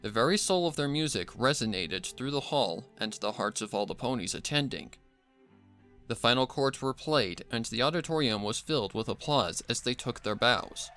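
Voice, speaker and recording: A man's voice: medium pitch, pushed and bright. A man reading a scripted story aloud as narrator. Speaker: narrator, fan fiction reader.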